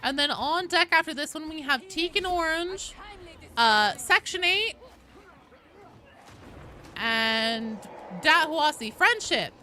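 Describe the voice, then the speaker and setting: A woman's voice: high voice, animated, slow pace, pauses often, bright, forceful tone. A woman game streamer chatting casually and playfully with her live viewers in an informal way. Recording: clear background noise behind voice.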